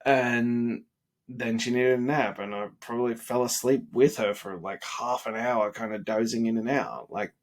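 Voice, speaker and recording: Man, 30s, stuffy and worn out, earnest motivational ramble with fillers, mid pitch. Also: steady tone, moderate pace. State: congested and sniffly; tired. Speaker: vlogger. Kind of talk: late-night motivational vlog.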